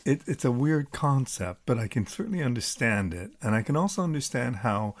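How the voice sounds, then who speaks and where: A man's voice: mid-range voice, big pitch swings, fairly quick. A man hosting a podcast, asking follow-up questions and making brief, attentive interjections. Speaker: podcast host.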